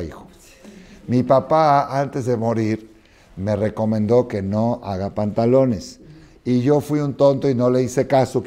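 A man in his sixties teaching a Torah class in a study hall, expressive and often asking his listeners whether they follow. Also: mid-range voice. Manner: expressive, questioning listeners, acting out dialogue. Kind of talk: animated Torah lesson with parables. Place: study hall with wooden chairs, indoors.

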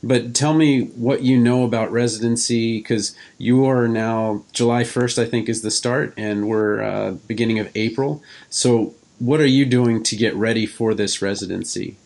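A man speaks as the host of an interview podcast. His voice sits at a medium pitch, and he talks in a conversational way as he puts questions to a guest. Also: fairly even intonation, normal speed, vocal fry, hardly any pauses, darkish tone.

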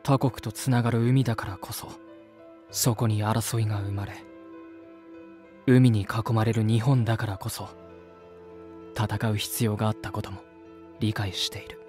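A man's voice: fairly low pitch, level tone, normal speed, pauses often, darkish tone, faint music behind, natural voice. An actor playing an earnest, peace-loving, uneasy man, polite and reflective, in a voice drama. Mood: reflective sorrow. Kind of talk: voice drama dialogue and inner monologue.

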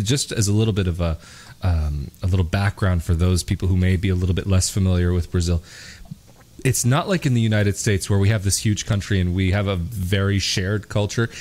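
Young guy w portuguese accent hosting a podcast, lowish voice, rambly w lots of uhs, studio mic. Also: a little mellow. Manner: chatty, explains at length with fillers. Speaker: podcast host. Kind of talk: interview podcast. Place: home studio, in front of a picture backdrop. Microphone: studio mic on a boom, with headphones.

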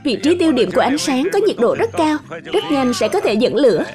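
A woman's voice: sits high, very animated, fairly quick, loud music behind the voice. A woman voicing an earnest, confident father who explains things in a children's cartoon dub. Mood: explaining.

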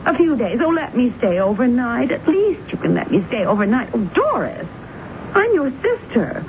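A woman's voice: fairly high pitch, big pitch swings, moderate pace, a little mellow. A woman playing a coy, pleading femme fatale sister in 1970s radio drama, with a hesitant, coquettish little voice. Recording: muffled 1970s radio recording, faint noise.

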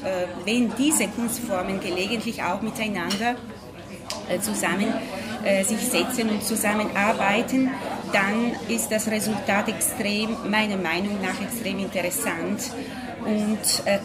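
Hesitant Italian-accented woman at a press table. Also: mid-range voice. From Italy. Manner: hesitant, many fillers, searching for words. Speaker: pianist. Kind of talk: press conference statement. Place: ornate café room with audience. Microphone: table microphone at a distance. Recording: room with a loud crowd.

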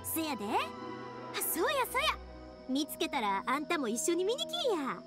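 An actress voicing a cheerful, friendly young woman in an anime game, with a Kansai accent and a breezy, expressive manner. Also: high-pitched, moderate pace, over loud music. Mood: eager.

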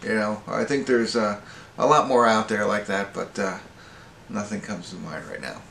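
A middle-aged American man giving a casual, unscripted movie review in a halting, rambling way, often correcting himself. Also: fairly low pitch, steady tone, gravelly, a bit bright. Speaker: amateur movie reviewer and host. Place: small indoor wood-panelled room at home. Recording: roomy echo with faint background noise.

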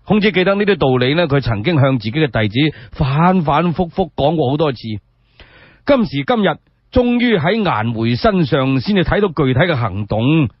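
Animated Cantonese male storyteller, husky voice. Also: on the high side, no echo. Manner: expressive, measured, with rhetorical asides. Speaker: solo storyteller. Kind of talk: dramatic scripted narration, radio storytelling. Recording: dull lo-fi radio recording.

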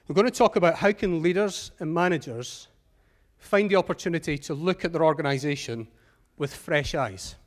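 A middle-aged Scottish man giving a measured, earnest talk on a large auditorium stage through a headset mic. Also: a little high. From Scotland. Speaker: conference speaker.

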